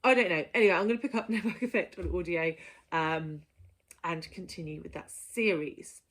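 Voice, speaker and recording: Woman, lowish pitch, casual book-vlog delivery, playful and keen. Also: normal speed. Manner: playful, enthusiastic, given to giggling. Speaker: book vlogger presenting a reading list. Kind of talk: casual chatty monologue to viewers.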